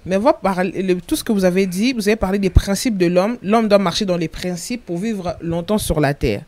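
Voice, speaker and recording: A woman's voice: medium pitch, a little fast, darkish tone, dry recording. Woman interviewer, dry close sound. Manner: summarizes and rephrases the guest's points. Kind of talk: talk show interview.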